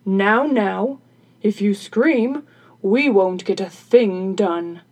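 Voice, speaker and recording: A gruff carpenter man from a storybook, as a woman narrator puts him on. He speaks slowly and loudly in a husky, booming, blunt way, full of exclamation. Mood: brisk, scolding. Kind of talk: folktale character voice in storytelling.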